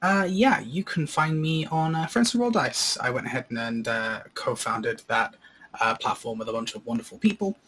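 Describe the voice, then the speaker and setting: A man's voice: mid-range voice, moderate pace, fairly bright tone, husky. A man chatting casually as a player on a tabletop show, over a slightly noisy call mic. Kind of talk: casual joking chat.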